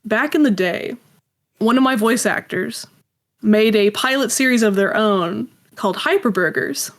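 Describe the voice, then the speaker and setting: A woman's voice: fairly high pitch, unhurried. Young adult woman, interview guest. Casual, conversational delivery with dry, self-effacing asides. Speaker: guest, indie animation and audio drama creator.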